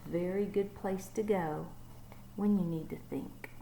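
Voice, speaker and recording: Slow gentle woman reading to children. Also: lowish voice, steady tone, creaky, slight background noise, poor audio. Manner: gentle, asks young listeners questions, drops to whispers. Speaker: teacher reading aloud. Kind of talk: storybook read-aloud to children.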